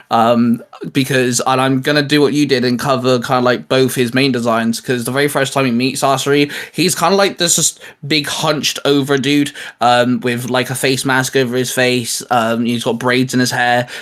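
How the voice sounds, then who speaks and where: A man's voice: medium pitch, a bit bright. A man chatting casually and enthusiastically as co-host of a geek culture discussion show. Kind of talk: casual chat.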